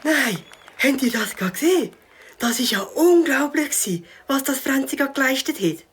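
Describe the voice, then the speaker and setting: A woman playing an excitable but bashful young friend who loves fishing, in a children's audio drama, with a Swiss accent. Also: sits high, normal speed. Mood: amazed.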